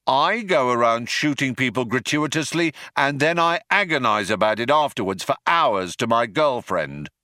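A man voicing a pompous, self-righteous male alien space cop who shouts through a bullhorn, in an audiobook. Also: mid-range voice, lively intonation, moderate pace, few pauses, dry recording, studio quality, voice pushed up. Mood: indignant.

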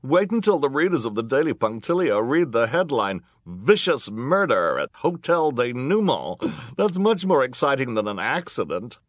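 A squealing, sensation-hungry storybook onlooker. The voice is gushing and gleeful, pitched high and swooping. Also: male voice, big pitch swings, moderate pace, no room sound, clean studio sound. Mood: thrilled.

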